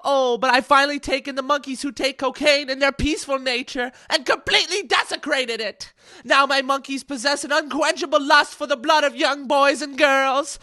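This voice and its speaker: Shrill, grandiose mad old scientist. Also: steady tone, moderate pace, pushed and bright. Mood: grandiose, menacing. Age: older. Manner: theatrical, grandiose villain ranting. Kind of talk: comic radio-play acting.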